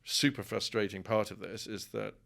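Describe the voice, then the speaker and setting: A man's voice: on the low side, flat delivery, normal speed, vocal fry, a bit bright. A middle-aged man with an English accent talking discursively and wryly as a guest in a conversational interview, often repeating words as he thinks. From England. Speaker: professor, podcast guest.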